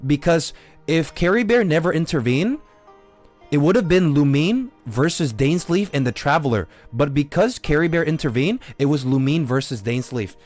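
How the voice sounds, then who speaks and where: A man's voice: fairly high pitch, lively intonation, moderate pace, darkish tone, soft background music. A man live streaming a game with unscripted commentary, arguing insistently, repeating himself and swearing casually. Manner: argumentative, repetitive, swears casually.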